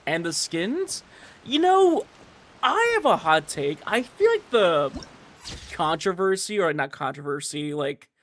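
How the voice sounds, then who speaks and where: A man's voice: fairly high pitch, big pitch swings, unhurried, a bit bright, slight background noise. A man livestreaming a game, chatting casually in a slangy, rambling way. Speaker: gaming livestreamer. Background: video game dialogue and audio.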